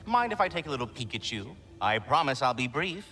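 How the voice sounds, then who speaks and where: Game squirrel: prissy gourmet gentleman, wildly swooping pitch, bright delivery, florid manners. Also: big pitch swings, fairly quick, soft background music. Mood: fussily delighted. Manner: florid, effusively polite, pompous. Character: chatty, refined talking squirrel, fussy gourmet. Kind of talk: theatrical video game acting.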